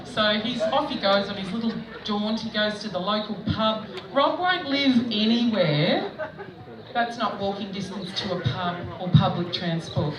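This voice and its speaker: A woman's voice: mid-range voice, a little slow, a crowd in the background. A middle-aged Australian woman doing stand-up, telling self-mocking stories in a chatty way with frequent laughter, recorded at an outdoor festival stage. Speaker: stand-up comedian. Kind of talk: stand-up comedy set. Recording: echoey, distant mic with background noise.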